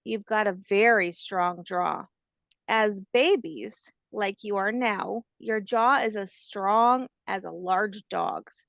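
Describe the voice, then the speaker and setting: A woman voicing a kindly, patient old grandmother alligator, telling facts in a gentle storybook manner. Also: mid-range voice, slow, creaky, dry recording, studio quality. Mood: proud, instructive. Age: older.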